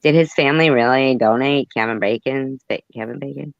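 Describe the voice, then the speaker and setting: A man's voice: mid-range voice, a little fast, vocal fry, no echo, dull audio. A man hosting a casual chat who rambles, trails off and mutters asides, then reads news reports aloud. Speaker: host. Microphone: phone.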